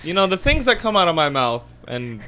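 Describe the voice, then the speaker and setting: A man's voice: on the high side, very animated, brisk, pushed and bright. A man co-hosting a podcast in casual chat, joking around and tripping over his words. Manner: playful, jokey, stumbles over words. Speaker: podcast co-host.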